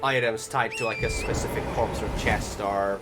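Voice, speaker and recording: A man's voice: lowish voice, steady tone, moderate pace. A man giving a casual, informal voice-over commentary on gameplay, rambling and hesitant, with some swearing. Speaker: game video commentator. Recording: loud music behind the voice. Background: loud music.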